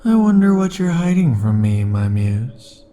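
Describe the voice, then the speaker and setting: A man voicing an obsessive, possessive immortal lover in an ASMR roleplay, speaking softly and slowly with dark chuckles, shifting between tenderness and threats. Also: medium pitch, sing-song. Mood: cold threatening.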